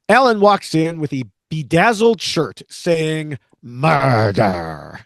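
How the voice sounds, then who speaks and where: A man's voice: fairly high pitch, drawn-out words, creaky, no room sound, studio quality. A man hosting a podcast, recapping episodes and chatting with a co-host. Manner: lively storyteller, laughs often.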